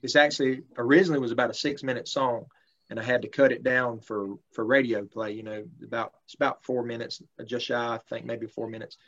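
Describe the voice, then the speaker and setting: A man's voice: medium pitch, a little fast. Laid-back man, thirties. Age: 30s. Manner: relaxed, polite, many fillers. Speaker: country singer guest. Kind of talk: casual interview chat. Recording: slightly dull lo-fi sound.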